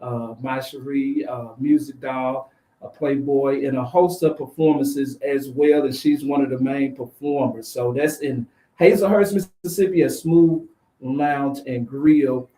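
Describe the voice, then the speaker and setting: A man hosting a radio show, chatting casually with a guest in a brisk, bantering way. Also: medium pitch, steady tone, dark tone. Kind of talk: casual interview and show patter. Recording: roomy studio sound.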